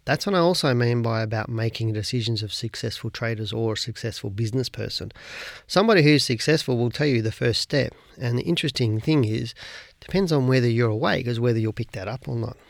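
A man hosting a solo podcast, giving casual advice in a coaching manner full of repeated rhetorical questions, his voice a little croaky this morning. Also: mid-range voice, a little fast, no echo, clean studio sound. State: slightly croaky voice. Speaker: podcast host.

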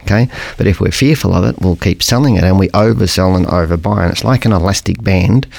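A middle-aged man with a croaky voice hosting a podcast, explaining things in a rambling, conversational way and often correcting himself. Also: low voice, quick pace, darkish tone, no room sound. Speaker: podcast host. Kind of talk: casual educational podcast monologue.

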